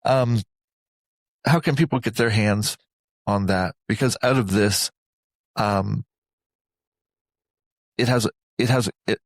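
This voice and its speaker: A man hosting a reflective interview, speaking earnestly and deliberately in short phrases with pauses between them. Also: lowish voice, vocal fry, no room sound. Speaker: interview host.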